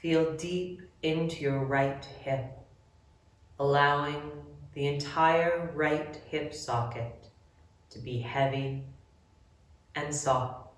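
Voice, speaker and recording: A woman leading a guided relaxation meditation, giving gentle, measured instructions with long pauses between phrases. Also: low voice. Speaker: yoga nidra guide. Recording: very echoey room, distant mic.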